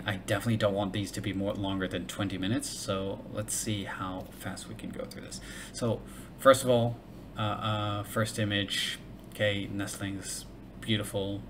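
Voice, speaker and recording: Male solo reviewer, bilingual in English and Hebrew, lower register, rambling and thinking aloud, recorded with noticeable background noise. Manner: thinking aloud, many fillers and restarts. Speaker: reviewer of crowdfunding pages. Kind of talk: casual solo commentary.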